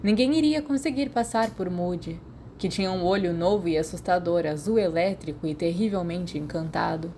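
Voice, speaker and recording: Brazilian female audiobook narrator, slightly low register, noisy home-recorded sound. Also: normal speed, dark tone. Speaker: podfic narrator. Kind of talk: scripted audiobook narration of fan fiction.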